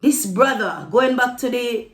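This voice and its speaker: A woman's voice: a little high, animated, measured pace, roomy sound, faint music behind. A woman giving casual opinion commentary to viewers, speaking emphatically and repeating phrases for stress. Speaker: vlogger.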